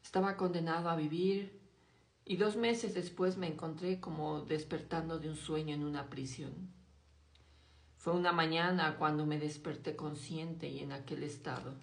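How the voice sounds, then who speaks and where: A woman reading a classic novel aloud in a slow, hushed, dramatic way, dropping her voice low on grim passages. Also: gravelly, roomy sound. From Mexico. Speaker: audiobook reader.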